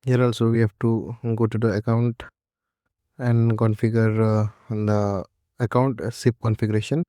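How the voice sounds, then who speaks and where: Male tutorial presenter, mellow and even-toned, halting step-by-step software walkthrough delivery, close dry sound. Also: on the low side, dry recording. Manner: halting, repetitive step-by-step instruction. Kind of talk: unscripted software setup walkthrough.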